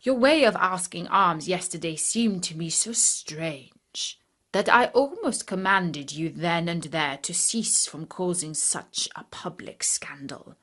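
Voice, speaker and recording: A woman voicing a dignified, curious male Caliph, commanding yet gentle, in formal and measured storybook speech. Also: fairly low pitch, slightly rough, heavily acted. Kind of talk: children's storybook reading.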